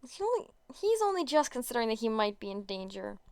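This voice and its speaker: A woman's voice: fairly high pitch, sing-song, fast, smooth, no echo. A woman narrating a story as an amateur reader, often stumbling and rereading words. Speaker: podfic narrator.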